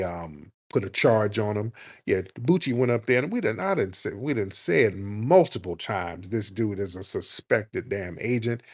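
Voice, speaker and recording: A man hosting a call-in show, talking in a blunt, profane and animated way and sometimes mocking people in a high put-on voice. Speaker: host.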